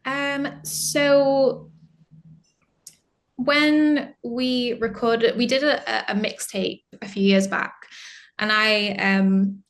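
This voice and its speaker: A woman's voice: fairly high pitch, steady tone, very slow. Chatty young woman, laughing guest. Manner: chatty, many fillers, laughs often. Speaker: band singer, interview guest. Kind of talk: casual interview chat.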